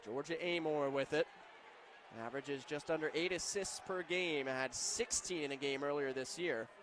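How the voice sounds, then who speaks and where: A male radio announcer with a somewhat high voice narrates live basketball play by play. Faint arena noise runs behind him. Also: fairly bright tone.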